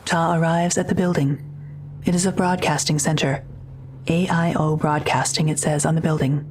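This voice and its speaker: A woman's voice: low voice, steady tone, normal speed, darkish tone, a little hiss or hum. A woman reading scripted narration for an audio drama as its narrator. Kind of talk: scripted narration, present tense.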